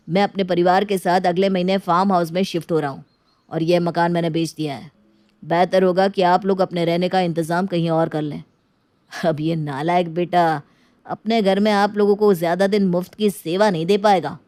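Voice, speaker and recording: A woman's voice: fairly low pitch, fairly even intonation, normal speed, darkish tone, dry recording, natural voice. A woman voicing a cold-hearted, scheming elder brother in a moral story, boastful and condescending. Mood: smug, dismissive.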